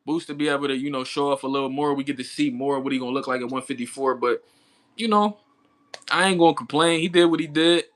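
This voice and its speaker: Laid-back man in his thirties, bright voice. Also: medium pitch, quick pace. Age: 30s. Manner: laid-back slangy talk, answering chat, laughing often. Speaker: livestream boxing commentator. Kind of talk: casual chat with live chat viewers.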